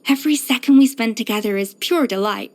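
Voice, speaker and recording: An actress voicing a proud, exacting young pastry chef in a video game, poised, self-assured and precise in manner. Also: female voice, a little high, fairly quick, darkish tone, close to an everyday voice. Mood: fond, nostalgic. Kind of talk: scripted video game character voice lines.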